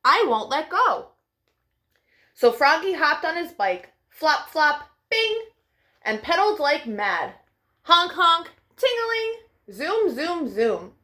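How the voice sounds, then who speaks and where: A woman's voice: high-pitched, very animated, takes it slowly, bright, forceful tone. A woman hosting a children's storytime, reading picture books aloud expressively, with playful sound effects. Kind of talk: animated picture-book read-aloud for young children.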